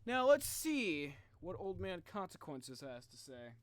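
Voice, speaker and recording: A man's voice: on the high side, sing-song, unhurried, no room sound. A man doing casual live game commentary as a streamer, talking in slangy, reactive exclamations.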